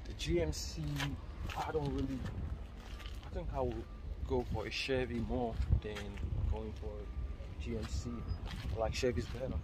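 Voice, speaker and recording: Thirties man, chatty selfie car-lot vlogger, mid-pitched voice, outdoor phone audio with noise behind. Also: normal speed. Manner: excited, chatty, exclaiming over cars. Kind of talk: casual selfie vlog. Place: outdoor car auction lot and inside parked cars. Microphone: phone camera at arm's length.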